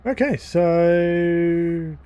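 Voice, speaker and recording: A man casually commenting as he plays through a game, thinking aloud with pauses and fillers. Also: fairly high pitch, dark tone, clean tone. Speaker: gaming YouTuber. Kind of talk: casual let's-play commentary.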